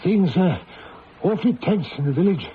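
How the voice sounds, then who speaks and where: An actor playing a superstitious village tavern keeper with a rustic, foreboding manner in a radio drama. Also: male voice, high-pitched, sing-song, moderate pace. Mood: ominous. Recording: dull lo-fi old radio, faint noise.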